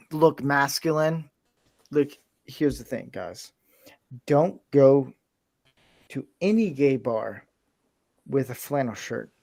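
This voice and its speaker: An American man with a slightly high voice hosts a casual group podcast. He tells his anecdotes in an animated way, acting out little bits of dialogue as he goes. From US Midwest.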